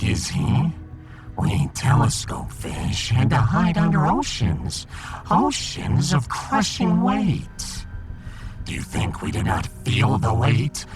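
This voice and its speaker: A man voicing a pompous, embittered alien fish emperor in a sci-fi audiodrama, with grandiose, theatrical, drawn-out delivery. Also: medium pitch.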